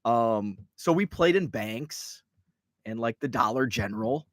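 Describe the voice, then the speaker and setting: A man's voice: mid-range voice, animated, a little slow, no room sound, studio quality. A middle-aged man hosting a card-collecting show in casual live chat. He sounds tired and a bit congested, and he rambles with lots of restarts and asides. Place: card room.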